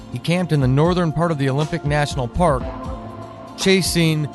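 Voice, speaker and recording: A man reads a scripted introduction in a measured, solemn tone, his voice slightly high. A music bed plays clearly under him throughout. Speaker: narrator. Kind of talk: scripted narration.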